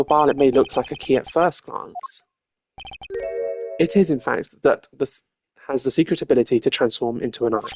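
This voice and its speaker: A streamer voicing a composed, stern young male prosecutor from a video game, measured, formal and accusatory. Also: medium pitch, natural voice. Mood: pressing. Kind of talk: video game character voice acting.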